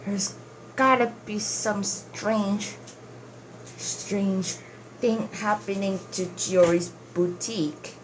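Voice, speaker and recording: A woman giving casual gameplay commentary, thinking aloud in a halting, repetitive way. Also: mid-range voice, fairly even intonation, a little hiss or hum, low-quality recording. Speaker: gamer, video creator. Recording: game music loud behind voice.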